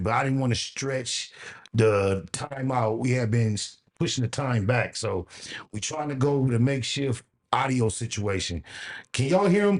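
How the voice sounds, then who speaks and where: A man's voice: medium pitch, a little mellow. A man hosting a live show, casually speaking straight to his viewers. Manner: casual, addresses viewers directly. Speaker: show host.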